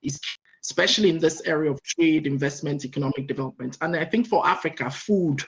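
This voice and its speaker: A woman's voice: sits low, fast talker. A middle-aged woman, answering on a panel with energy, listing her points and checking in with "right?". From Nigeria. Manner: energetic, lists points, keeps adding "right?".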